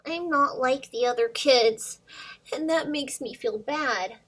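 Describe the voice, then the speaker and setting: Casting: naive young boy dragon for a children's storybook, played by a woman, high and exaggerated, unhurried. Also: fairly bright tone, full character voice. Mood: sad, dejected. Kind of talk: expressive children's storybook read-aloud.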